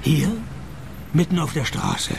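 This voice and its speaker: A cynical, world-weary private detective with a gravelly, fairly high voice that swings lively up and down. He tosses off dry, sardonic wisecracks in a radio play, with faint music behind him. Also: slow pace, noisy background, exaggerated voice.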